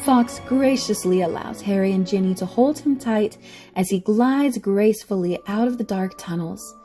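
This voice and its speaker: A woman's voice: mid-range voice, normal speed, warm tone, soft background music. A woman reading scripted story narration for an audio drama.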